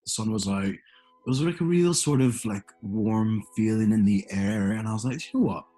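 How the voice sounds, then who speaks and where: Guy chatting, kinda husky and low, rambly story, bit of music in the back. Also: normal speed, dark tone. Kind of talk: casual chat.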